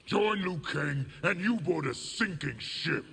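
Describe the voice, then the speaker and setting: An actor playing a haughty, highborn rebel general in a video game, forceful and coldly righteous. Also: male voice, lively intonation, slow, vocal fry, exaggerated voice. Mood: haughty, menacing. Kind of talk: video game villain dialogue. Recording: long hall reverb, faint noise.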